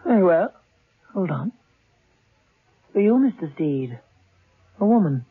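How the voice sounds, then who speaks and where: A woman's voice: fairly low pitch, very animated, slow pace, slightly rough, exaggerated voice. An actress playing a flirtatious, teasing woman in a 1970s radio play. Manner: teasing and seductive. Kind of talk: 1970s radio drama acting. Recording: tinny lo-fi old radio broadcast.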